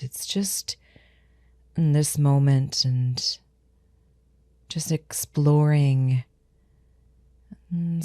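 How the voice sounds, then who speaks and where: A woman guides a meditation at a slow, unhurried pace. Her voice is strikingly low and soft-edged in tone, and she gives each instruction gently and soothingly. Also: no room sound, studio quality.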